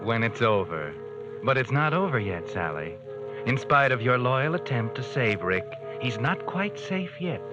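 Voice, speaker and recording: An actor playing an ominous, all-knowing radio narrator who taunts the characters in an insinuating 1940s radio style, over music on a muffled old recording. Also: male voice, medium pitch, big pitch swings, unhurried. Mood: sardonic.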